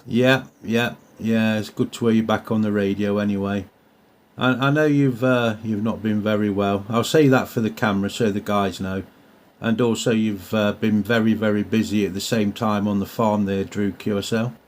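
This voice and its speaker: A man's voice: fairly low pitch, steady tone, moderate pace. A man from England with an English accent, chatting casually and a little hesitantly in CB radio jargon. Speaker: amateur CB radio operator. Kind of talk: casual radio contact chat. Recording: faint radio hiss and static.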